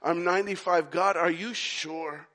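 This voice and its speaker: A man's voice: sits high, steady tone, unhurried, sharp tone. A man putting on a very old man who keeps pleading and asking whether he heard a divine promise right. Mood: weary doubt. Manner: pleading, repeating questions.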